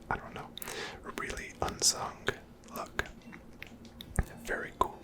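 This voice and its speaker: Slow, whispered ASMR gaming voice. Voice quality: whispered, breathy. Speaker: gameplay host. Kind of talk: whispered ASMR video game commentary.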